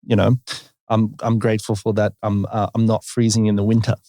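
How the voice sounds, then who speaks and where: A man's voice: fairly low pitch, level tone, a little fast, mellow, no echo. Male interview host, casual and encouraging, dry close sound. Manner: encouraging, frequent short affirmations. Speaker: podcast host.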